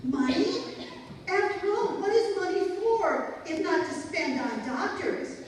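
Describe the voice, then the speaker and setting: An extremely high-voiced actress as a nosy older mother pronounces her opinions in vintage radio-comedy style. She sounds far from the mic in a very echoey hall. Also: expressive, slow, heavily acted. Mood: opinionated. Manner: declarative, doling out muddled wisdom. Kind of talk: old-time radio comedy acting. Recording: echoey hall from afar, audience noise.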